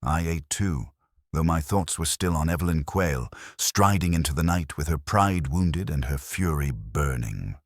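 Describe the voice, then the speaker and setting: A man's voice: a bass voice, lively intonation, moderate pace, no room sound, clean studio sound. A man reading a first-person detective story as scripted narration. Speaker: audiobook narrator.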